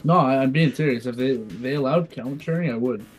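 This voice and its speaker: A man's voice: mid-range voice, brisk, faint music behind. Joking guy. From Canada. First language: French. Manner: joking, laughs while talking. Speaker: stream guest. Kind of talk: casual gaming stream chat.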